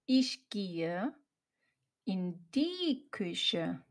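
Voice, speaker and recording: A woman reading short example sentences for learners, saying each one twice in clear, separate chunks. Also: medium pitch, sing-song, drawn-out words. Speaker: German teacher.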